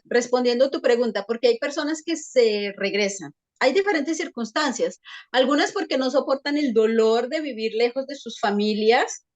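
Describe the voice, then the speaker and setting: A psychologist being interviewed, explaining her points thoughtfully with frequent hesitations and restarts. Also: a little high. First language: Spanish. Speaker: psychologist guest. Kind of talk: conversational interview answers.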